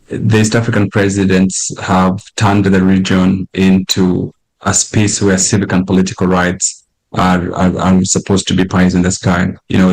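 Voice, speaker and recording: A man from Kenya speaking as a political guest in a news interview, forceful, often restarting his words. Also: low voice, low-quality recording.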